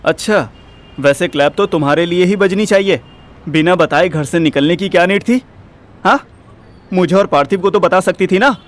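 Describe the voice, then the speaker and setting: A man's voice: high voice, moderate pace, lightly acted. A man playing a hot-headed, jealous, teasing young boyfriend in an audio drama, with an Indian accent and exclamatory delivery that mixes in English. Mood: teasing, hurt. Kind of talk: Hindi audio drama acting. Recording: music behind the voice.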